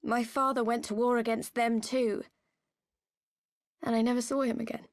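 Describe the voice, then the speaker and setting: Game heroine, twenties played by a woman: high voice, close dry sound, earnest and halting, baring a painful past. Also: level tone, no echo, natural voice. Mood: sorrowful, confessional. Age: 20s. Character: grieving young woman revealing her painful past. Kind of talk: dramatic video game cutscene acting.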